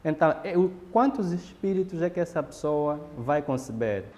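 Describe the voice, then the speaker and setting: A man with an Angolan Portuguese accent explains at a measured pace, often pausing with fillers. His voice is fairly high for a man and dark in tone. It carries a long room reverb over faint background noise. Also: lively intonation. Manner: measured, pauses with fillers and restarts. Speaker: interviewee explaining a ritual. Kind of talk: explanatory documentary interview.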